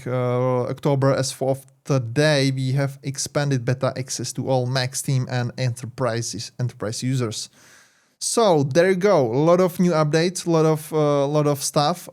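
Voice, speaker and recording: A man's voice: mid-range voice, a little mellow, smooth. A man with a Czech accent, a tech YouTuber talking casually over his screen, rambling with many fillers and self-corrections. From Czech Republic.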